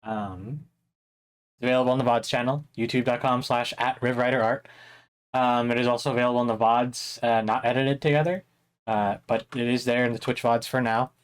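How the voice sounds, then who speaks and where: Young man, slightly hoarse voice, art teacher on a live stream, casual rambling, lots of ums. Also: medium pitch, steady tone, brisk. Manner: chatty, rambling, with many fillers and laughs. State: a little hoarse. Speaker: art instructor streaming a drawing workshop.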